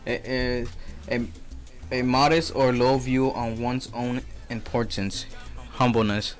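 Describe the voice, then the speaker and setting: A man's voice: mid-range voice, level tone, slow, soft background music. A teenage man hosting a youth radio show, chatting casually with lots of fillers and laughter. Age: teens.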